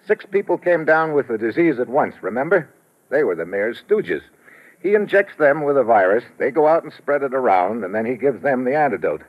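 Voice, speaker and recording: An actor playing a sardonic, hard-boiled American investigator in a 1950s radio drama, wry and clipped. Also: male voice, mid-range voice, sing-song, a little fast. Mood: explaining, assured. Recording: dull old radio recording.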